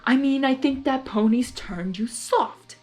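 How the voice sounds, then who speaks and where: A woman's voice: high-pitched, measured pace, darkish tone, faint music behind, voice pushed up. Woman voicing a taunting, mocking mirror reflection. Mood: needling. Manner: mocking, needling. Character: taunting mirror reflection of a male chaos creature.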